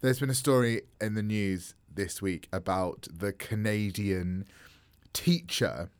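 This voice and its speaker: A man's voice: lowish voice, takes it slowly, clean tone, no echo. A man co-hosting a podcast in casual chat, chesty and coughing a little, chatty and restarting his sentences, speaking into a studio mic. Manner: chatty, animated, trails off and restarts. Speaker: podcast co-host. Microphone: studio mic with pop guard.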